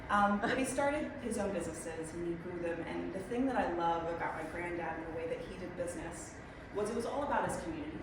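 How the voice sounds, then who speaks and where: A woman's voice: lowish voice, fast, few pauses. Woman, 30s, American-Kiwi accent, casual conference speaker, distant mic, noisy reverberant room. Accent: American-New Zealand mix. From United States and New Zealand. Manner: chatty, self-mocking, many ums. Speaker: guest speaker. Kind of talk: conference talk. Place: large echoey meeting room.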